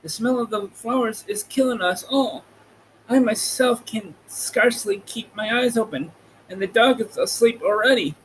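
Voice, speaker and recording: Man playing a big sleepy lion, raised pitch, worried, pleading, storybook character, light background noise. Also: normal speed, a bit bright, low-quality recording. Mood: worried, drowsy.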